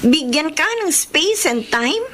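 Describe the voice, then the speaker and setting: A woman's voice: high-pitched, lively intonation, slow pace. A woman playing a touchy, sulky young girlfriend in a radio romance drama, with a Filipino accent and an emotional, reproachful delivery. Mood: hurt, pleading. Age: young adult. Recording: noisy radio drama broadcast.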